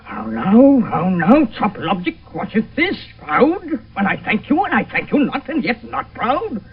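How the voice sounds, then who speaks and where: A man's voice: high voice, wildly swooping pitch, measured pace, breathy edge, exaggerated voice. An actor playing a domineering, hot-tempered noble father with blustering, scornful delivery in classical Shakespearean radio drama. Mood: furious. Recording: noisy, tinny old radio broadcast.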